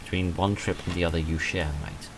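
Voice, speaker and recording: Man, deep voice, casual let's-play commentary, understated and measured delivery, some background noise. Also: steady tone. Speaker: video game let's-player and reviewer. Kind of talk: casual game playthrough commentary, reading text aloud and reviewing.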